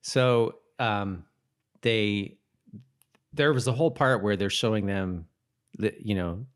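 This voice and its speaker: A man's voice: fairly low pitch, takes it slowly, clear, resonant tone. A man co-hosting a podcast in casual TV recap chat, talking in a rambling, self-correcting way. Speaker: podcast co-host.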